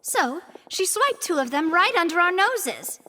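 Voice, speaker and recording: A woman's voice: very high voice, very animated, a little slow, sharp tone, heavily acted. An actress voicing a cheeky, chatty, indignant female sidekick in a video game, with reverberant, noisy sound. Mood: mock indignant.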